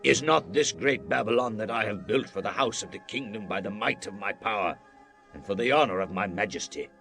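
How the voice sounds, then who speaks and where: A grand, bright-voiced ancient king. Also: fairly low pitch, lively intonation, brisk, faint music behind. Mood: boastful. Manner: grand, stately, declamatory. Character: proud, commanding ancient king of Babylon. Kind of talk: dramatized scripture reading, solemn.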